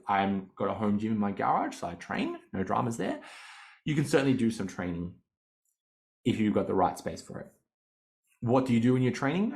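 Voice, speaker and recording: A man, a fitness coach giving casual, animated podcast advice and laughing easily. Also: on the low side, creaky.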